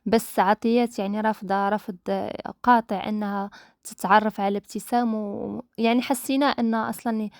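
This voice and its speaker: A woman's voice: mid-range voice, fairly even intonation, brisk, studio quality. A woman from the Maghreb co-hosting a casual chat, often agreeing and echoing her partner. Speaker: co-host.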